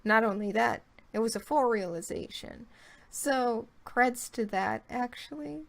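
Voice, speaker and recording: A woman's voice: on the high side, a little slow, vocal fry. Playful young woman with a raised voice. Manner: playful, opinionated, joking. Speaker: guest co-host. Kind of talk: casual chat.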